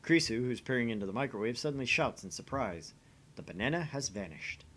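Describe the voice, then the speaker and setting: A man's voice: medium pitch, animated, brisk, fairly bright tone. A man reading scripted visual novel narration aloud as the host of a gaming channel.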